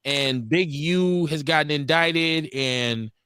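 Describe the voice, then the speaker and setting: A man in his forties, hosting a casual podcast chat in an animated, emphatic way, often restarting his sentences. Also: fairly high pitch, slow, clear, resonant tone. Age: 40s. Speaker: podcast host.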